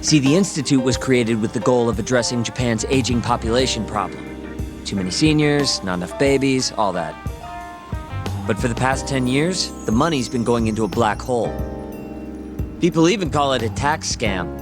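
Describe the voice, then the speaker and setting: A man's voice: medium pitch, normal speed, lightly acted. An actor voicing a glib male informant in a game dub, laying out shady dealings in a casual, wry, slangy way. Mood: explanatory, wry. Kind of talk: English game dub dialogue, conversational exposition. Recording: music behind the voice.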